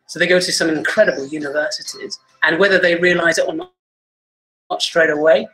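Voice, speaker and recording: Earnest teacher from England, bright voice. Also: male voice, a little high, low-quality recording. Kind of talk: promotional video interview.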